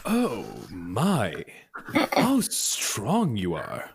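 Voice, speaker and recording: Man playing a slow, affectedly cultured sly infiltrator. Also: on the low side, wildly swooping pitch, slightly rough, exaggerated voice. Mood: flirtatious bluffing. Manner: flowery, bluffing.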